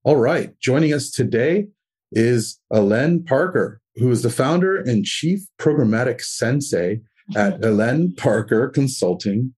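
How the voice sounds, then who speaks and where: A man's voice: medium pitch, lively intonation, a little slow, a little mellow, breathy edge. A man hosting a podcast, reading sponsor copy and asking questions in a friendly, enthusiastic way. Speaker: podcast host.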